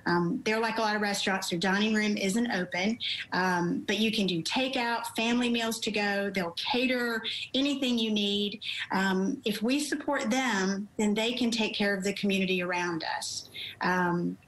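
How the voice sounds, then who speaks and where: A woman's voice: mid-range voice, normal speed, fairly bright tone. Middle-aged woman on an echoey video call. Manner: chatty, enthusiastic, frequent ums. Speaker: magazine editor. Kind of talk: remote news interview. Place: home room on video call. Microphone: laptop video call. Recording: roomy video call audio.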